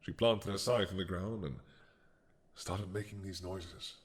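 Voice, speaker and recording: A man playing an ancient, regretful dragon king in an audio roleplay, talking slowly and softly with sighs. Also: deep voice, steady tone. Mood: brooding. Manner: slow, soft-spoken, musing with sighs.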